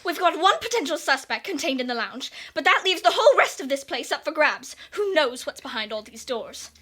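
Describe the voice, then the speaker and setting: A woman's voice: sits high, very animated, a little fast, sharp tone, heavily acted. A young woman playing a nervous guest who keeps protesting in a school play scene. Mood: tense. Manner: worried, protesting. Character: anxious, comic, edgy young woman.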